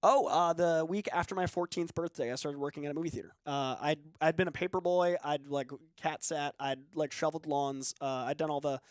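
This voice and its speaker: A man's voice: on the high side, a little fast, few pauses, no echo. A man in his thirties, a co-host chatting casually and a little self-deprecatingly while still getting over an illness. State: recovering from illness. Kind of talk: casual chat.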